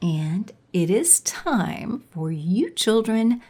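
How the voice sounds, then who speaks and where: Evil sorceress lady, super condescending n theatrical, slow drawn out delivery, big pitch swings, audio drama. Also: big pitch swings, a little mellow, heavily acted. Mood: dismissive. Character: haughty villainous sorceress in hiding, condescending and menacing.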